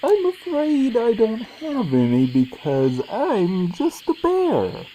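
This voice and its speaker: A woman's voice: a little high, wildly swooping pitch, takes it slowly, mellow, heavily acted, low-quality recording. A woman voicing a shady bear driver who turns out to be a man in a bear suit, answering a traffic cop with smug, evasive defiance in a comedy sketch.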